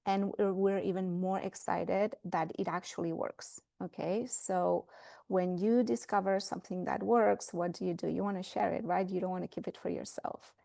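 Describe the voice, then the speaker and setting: Woman, Spanish accent, gravelly, dry close sound, webinar host. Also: lowish voice, fairly even intonation, moderate pace, mellow, dry recording. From Spain. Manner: earnest, emphatic, repeats words for stress. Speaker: fertility coach hosting a webinar. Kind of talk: webinar presentation.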